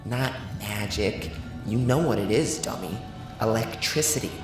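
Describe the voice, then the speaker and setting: Guy playing a tired humble handyman, plain halting talk, kinda scolds himself, echoey w music behind, horror audio story. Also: lowish voice, close to an everyday voice. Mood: wary. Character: weary, humble handyman in a bleak post-apocalyptic world. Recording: echoing voice over clear music.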